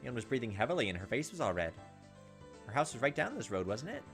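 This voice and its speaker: A man's voice: mid-range voice, sing-song, fast, a bit bright, soft background music. A man doing a casual let's play, reading a visual novel aloud and adding his own informal asides. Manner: informal, reacts to the story as he reads. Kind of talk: casual gameplay narration with asides.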